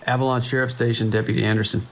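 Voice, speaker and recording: A man playing a calm, wry sheriff's dispatcher with a dry, reassuring manner in an audio drama. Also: medium pitch, very fast, vocal fry, noisy background, close to an everyday voice. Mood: wry, relaxed. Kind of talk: naturalistic audio drama acting.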